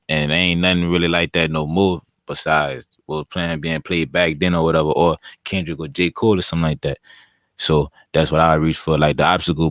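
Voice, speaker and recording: A young man with a deep voice, a rapper talking as a podcast guest in a casual, rambling, laid-back way. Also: gravelly, fairly bright tone, no echo, clean studio sound. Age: young adult.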